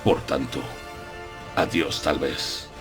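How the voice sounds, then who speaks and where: A man playing a grave, resolute old professor and vampire hunter in a gothic audio drama, speaking formally and deliberately. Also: extremely low pitch, fairly even intonation, over music.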